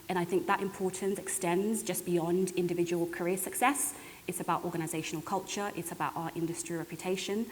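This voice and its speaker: A woman's voice: on the low side, a little mellow. Woman panellist in an echoey hall. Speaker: conference panellist. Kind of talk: panel discussion remarks. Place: reverberant indoor event hall. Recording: echoey hall with clear background hiss.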